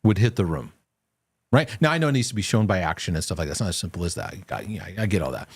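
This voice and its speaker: A man's voice: low voice, big pitch swings, very fast, breathy edge, no echo. A man hosting a live question-and-answer broadcast, giving earnest, reassuring faith-based teaching. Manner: earnest, reassuring, lots of restarts. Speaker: host.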